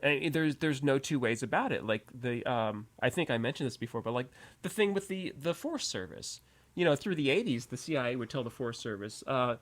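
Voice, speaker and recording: A man's voice: medium pitch, a little fast. A man in a casual political radio discussion, speaking emphatically and bluntly. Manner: animated, emphatic, blunt opinions. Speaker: radio discussion guest or co-host. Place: radio studio.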